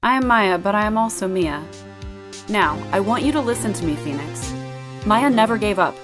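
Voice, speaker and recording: A woman playing a calm, wise mentor spirit in a video game drama, reassuring and gently firm. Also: mid-range voice, moderate pace, faint music behind, natural voice.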